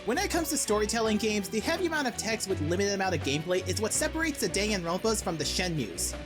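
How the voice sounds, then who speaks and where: Fast talking woman doing a gaming video essay, playful and informal, music in the back. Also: mid-range voice, big pitch swings. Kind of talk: scripted narration of a video game essay. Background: background music.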